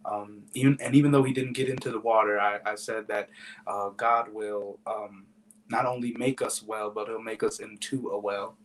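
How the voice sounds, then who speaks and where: A man's voice: on the low side, flat delivery, normal speed, a little hiss or hum, poor audio. Man, 20s, young pastor, hesitant, searching for words, video call. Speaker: pastor and panel guest.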